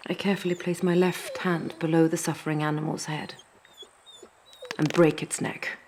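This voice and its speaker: A woman's voice: low-pitched, flat delivery, normal speed, a little mellow. Woman acting a compassionate, resolute heroine over noise. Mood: quiet, sorrowful. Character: compassionate, resolute heroine recounting mercy-killing a dying dog. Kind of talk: dramatic first-person narration. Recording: clearly noisy background.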